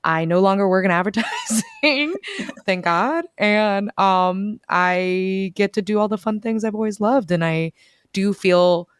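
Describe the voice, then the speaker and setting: A young American woman talks over a video call with a smooth, clear voice that sits a little low. The sound is dry and close, with no room around it. She chats easily and acts out her own thoughts in quoted asides. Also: dry recording. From United States. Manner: chatty, animated storytelling with quoted asides. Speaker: bookstore events director, guest.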